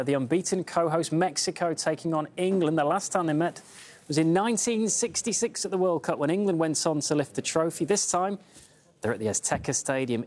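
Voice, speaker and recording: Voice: medium pitch, a little mellow. Sports news presenter reading a report. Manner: brisk, informative. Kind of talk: scripted sports news narration.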